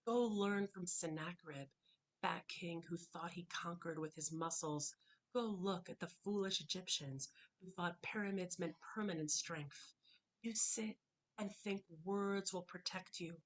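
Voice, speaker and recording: Thoughtful woman poet, low voice. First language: Hebrew. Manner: thoughtful, hesitant between poems, slow and deliberate when reading. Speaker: poet reading her work. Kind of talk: poetry reading with commentary. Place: home, on a video call. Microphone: computer microphone on a video call.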